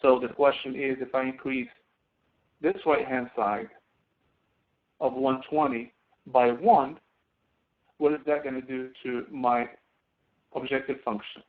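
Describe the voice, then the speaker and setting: Man, medium pitch, tutorial instructor, step-by-step explanation, thinking aloud, narrow tinny phone-like audio. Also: unhurried, long pauses. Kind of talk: screen-recorded spreadsheet tutorial, explanatory.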